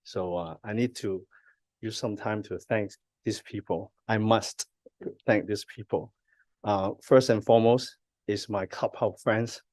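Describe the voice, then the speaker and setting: Emotional man giving a halting speech. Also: on the low side, steady tone, a little mellow, no room sound. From Hong Kong. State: tearful, choked with emotion. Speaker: honored artist giving an acceptance speech. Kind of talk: halting, personal thank-you speech. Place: ceremony stage.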